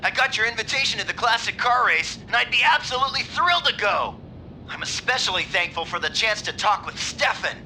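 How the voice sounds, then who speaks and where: A man's voice: very high voice, animated, brisk, pushed and bright, slight background noise, full character voice, low-quality recording. A man playing an eager, ambitious and polite racing enthusiast in a video game cutscene. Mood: eager, excited. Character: eager, ambitious would-be racing team builder.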